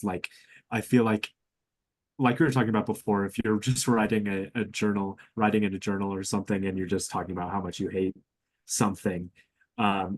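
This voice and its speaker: A man's voice: sits low, a little mellow, slightly rough, no echo. A young man talking casually and thoughtfully in a student podcast discussion, hedging often with "like". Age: young adult.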